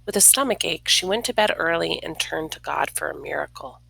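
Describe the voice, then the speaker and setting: A woman reading a children's story aloud expressively, with dramatic pauses, hushed near-whispers and casual asides. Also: sits low, expressive, gravelly, breathy edge, low-quality recording. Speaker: storybook reader.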